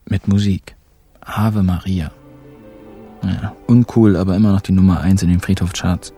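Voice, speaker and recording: Cheeky young male narrator, played by a man for a radio crime comedy: deadpan, slangy, low-pitched, soft-edged, faint music behind. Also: moderate pace, natural voice. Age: young adult. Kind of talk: first-person radio crime drama narration.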